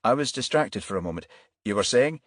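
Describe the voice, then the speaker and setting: Man playing a calm, affable detective inspector. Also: lowish voice, a little fast, no echo, studio quality. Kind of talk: audiobook character voice.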